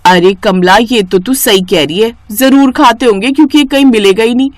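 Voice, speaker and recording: A woman's voice: on the high side, normal speed, no room sound, natural voice. A woman voicing a rich lady employer in a cartoon story, effusive and emphatic, kindly giving orders to her cook. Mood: impressed. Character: rich female employer, pleased, effusive, bossy but kindly.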